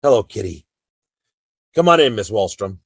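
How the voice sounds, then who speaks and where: An actor playing a calm, authoritative Old West marshal with an American accent and a measured, firm delivery in a radio drama. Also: male voice, lowish voice, breathy edge, no room sound. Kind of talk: Western radio drama acting.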